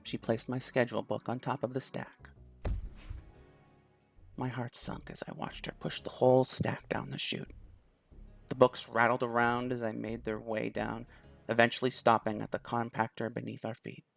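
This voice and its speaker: A man's voice: mid-range voice, completely flat delivery, normal speed, creaky, fairly bright tone, faint music behind. A man reading a visual novel aloud in first person, with small restarts. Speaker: let's play host.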